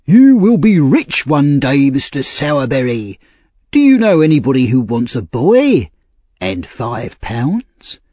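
A man's voice: mid-range voice, wildly swooping pitch, slow, dark tone, no echo, pitched above the performer's own voice, exaggerated voice. A man voicing a pompous, officious parish official in an audiobook, speaking emphatically. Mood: pompous, pleased. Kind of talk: audiobook character voice.

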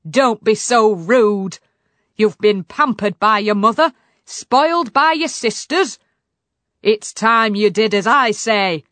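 A woman voicing a stern, blustering king and exasperated father, loud and commanding, in a storybook. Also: medium pitch, normal speed, few pauses, no room sound, lightly acted. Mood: angry, scolding.

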